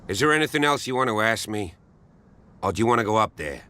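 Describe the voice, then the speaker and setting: An actor playing a gruff, guarded small-town policeman in a video game, speaking in a measured, folksy, wary way. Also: fairly low pitch, lively intonation, bright, forceful tone. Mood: somber, guarded. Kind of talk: scripted game dialogue.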